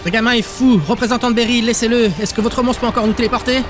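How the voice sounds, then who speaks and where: Man voicing a high-voiced, blunt, grim woman. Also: little pitch movement, fast talker, music in the background. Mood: urgent exasperation. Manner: blunt, urgent. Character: grim, pragmatic woman facing disaster. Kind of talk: dramatic audiobook dialogue.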